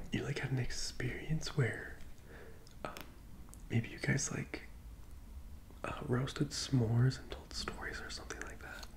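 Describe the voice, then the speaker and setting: Male, breathy whisper, ASMR role-play, gentle psychic medium, hesitant soothing delivery, close mic. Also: mid-range voice.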